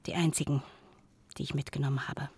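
An actress in a radio play playing an earnest, modest middle-aged woman, reading her letter aloud in an intimate, measured, confiding way. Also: deep voice, fairly even intonation, dark tone, slightly rough, exaggerated voice. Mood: earnest, uneasy.

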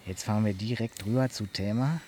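An actor as a man boyishly proud of his gadgets. His voice is on the low side and he instructs in chatty, colloquial bursts, a natural radio play performance over clear background noise. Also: brisk, warm tone, lightly acted. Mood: proud, instructing.

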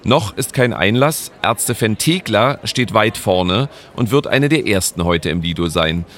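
Low-voiced male podcast narrator. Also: animated, measured pace, a bit bright. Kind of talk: scripted narration.

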